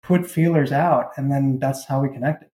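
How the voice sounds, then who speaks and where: A man with a mellow, dark-toned voice of middling pitch talks casually as a writer being interviewed. He tells things conversationally, and the sound is roomy and echoey. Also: fairly even intonation, moderate pace. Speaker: writer and audio drama creator.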